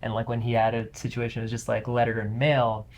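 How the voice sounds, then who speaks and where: A young man with a mid-range voice talks through an idea on a student discussion show. He reasons out loud, casual and unpolished, filling his pauses with small words. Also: mid-range voice, completely flat delivery. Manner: reflective, many "like" fillers. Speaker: student guest.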